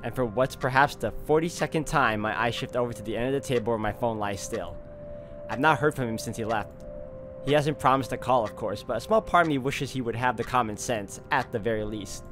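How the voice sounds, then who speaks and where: A man's voice: mid-range voice, brisk. A man hosting a gaming video, reading a visual novel aloud in a theatrical, suspenseful way. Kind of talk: dramatic read-aloud of visual novel game with player commentary. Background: game music.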